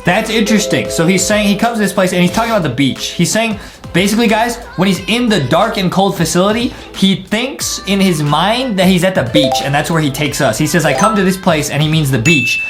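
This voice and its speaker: Fast-talking young man hosting a gaming breakdown. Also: sits high, lively intonation, over music. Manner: slangy, explains lines simply and repetitively. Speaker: YouTube host. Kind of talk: casual excited gaming breakdown.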